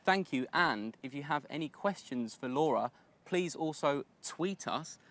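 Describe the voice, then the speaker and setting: A man's voice: on the high side, lively intonation, measured pace. A man hosting a live golf show, upbeat as he greets viewers and hands over to a reporter. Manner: upbeat, reading viewer shout-outs. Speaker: show host. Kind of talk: live studio presenting.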